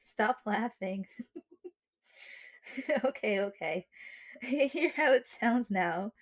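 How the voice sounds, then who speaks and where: A woman playing a sweet, sheepish young girlfriend in an ASMR roleplay, speaking to her partner in a halting, flustered way. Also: on the high side, animated. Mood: pleading, defensive, then amused, sheepish. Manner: halting, stalling, sighing, mock-indignant. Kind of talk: ASMR girlfriend roleplay, acted monologue to the listener.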